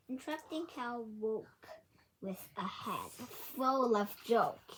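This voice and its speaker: A woman reading a children's picture book aloud in a halting, word-by-word manner, correcting herself as she goes. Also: medium pitch, a little hiss or hum, low-quality recording. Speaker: storybook reader.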